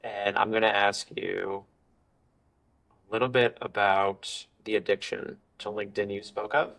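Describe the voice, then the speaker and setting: Low-voiced man hosting a podcast. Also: level tone, a little slow, creaky, fairly bright tone, poor audio. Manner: complimentary, guiding the conversation. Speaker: podcast host, interviewer. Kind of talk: podcast interview.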